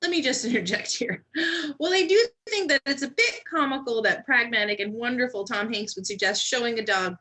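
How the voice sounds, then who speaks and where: A woman's voice: a little high, bright, forceful tone. A woman giving theatrical, mock-indignant comedic commentary.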